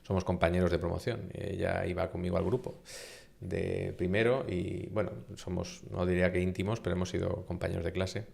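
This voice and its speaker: A middle-aged man from Spain with a Castilian accent, a university vice-rector speaking as an interview guest, emphatic and animated, often posing rhetorical questions. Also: deep voice, a little fast, gravelly, a little hiss or hum. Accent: Castilian Spanish. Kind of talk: conversational interview.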